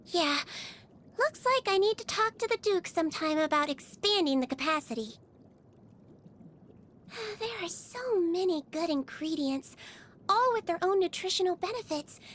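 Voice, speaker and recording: A woman voicing a small, sweet, childlike nurse in a video game, speaking in a gentle, chatty and earnest way. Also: very high voice, slight background noise, full character voice. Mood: mildly pensive, then bright, chatty. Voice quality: childlike, cutesy. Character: small sweet girlish nurse creature, caring and cheerfully earnest. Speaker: infirmary nurse. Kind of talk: video game character voice lines.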